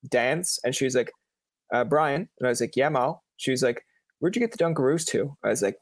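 A man's voice: medium pitch, lively intonation, a little fast, a little hiss or hum. A young man from Newfoundland with a Newfoundland accent, interviewing guests in a chatty, storytelling way full of fillers. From Newfoundland, Canada. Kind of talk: casual chat.

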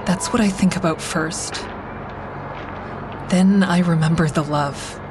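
An actress playing a haunted, earnest woman who survived violence, narrating an audio drama in the first person with measured intensity. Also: fairly low pitch, noisy background.

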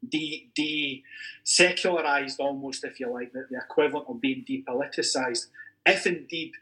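A Scottish man, an academic in a podcast discussion, making careful, measured points that he qualifies as he goes. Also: medium pitch, pushed and bright, poor audio. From Scotland. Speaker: university academic discussing football history.

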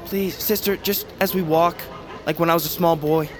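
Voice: medium pitch, steady tone, moderate pace, over music, natural voice. Actor playing a playful blind storyteller. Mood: gentle. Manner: teasing, easygoing. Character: cheerful young blind male storyteller.

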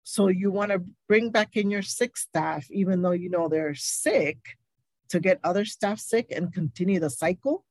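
A woman's voice: lowish voice, normal speed, darkish tone, no room sound. A woman speaking as a nurse guest in a candid interview, emphatic and indignant, repeating points for stress. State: recovering from covid, stuffy.

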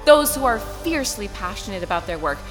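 A harried woman emceeing a corporate holiday broadcast, bright and bouncing all over her range as she trades curses with the crew. Her voice rings with a long hall reverb, with music under it. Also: medium pitch, sing-song, a little fast. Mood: nervous formal. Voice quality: profane, exasperated, bickers. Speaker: corporate event emcee named Grace. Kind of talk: audio drama acting.

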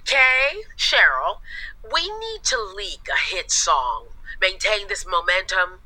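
A woman playing a brisk, demanding theatre producer with a commanding, forceful manner. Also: medium pitch, very animated, faint music behind, heavily acted, low-quality recording. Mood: urgent. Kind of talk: scripted audio comedy acting.

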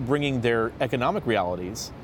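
A man hosting a casual roundtable discussion, talking in an animated and jokey way with an upbeat lilt. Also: medium pitch, a little fast, slight background noise. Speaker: host of a discussion panel. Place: seated at a table in a city.

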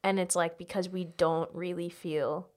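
Candid young woman with a lowish voice. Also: fairly even intonation, normal speed, darkish tone. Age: late 20s. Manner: candid, filler-heavy, uses "like" constantly. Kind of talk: casual, confessional podcast chat.

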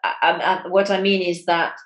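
A woman's voice: lowish voice, normal speed. A woman from continental Europe hosting a casual interview, inquisitive and often echoing back what she has just been told. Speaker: interviewer, host. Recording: roomy, echoing sound.

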